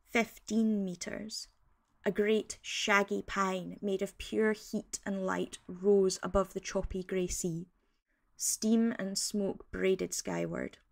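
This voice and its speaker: Awed naturalist field report, crackly fry in the voice, descriptive and earnest, audio fiction. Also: female voice, mid-range voice. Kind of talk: dramatic scripted first-person narration.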